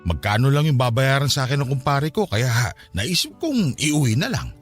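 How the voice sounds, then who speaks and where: A man's voice: mid-range voice, sing-song, normal speed, slightly rough, faint music behind. A man playing a cheerful, caring working-class husband in a radio drama, easygoing and reassuring. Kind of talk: Filipino radio drama acting.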